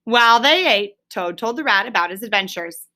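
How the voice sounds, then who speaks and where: Bright-voiced woman reading a story aloud. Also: medium pitch, animated, brisk. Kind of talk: expressive children's story read-aloud with explanatory asides.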